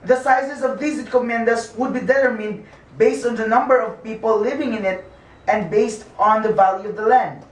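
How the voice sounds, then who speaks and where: Man playing a high-voiced Filipino schoolboy, echoey. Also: moderate pace, natural voice. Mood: cheeky, reciting. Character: cheeky, know-it-all schoolboy reciting lessons. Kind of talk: scripted amateur puppet show dialogue. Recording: echoey room, distant microphone.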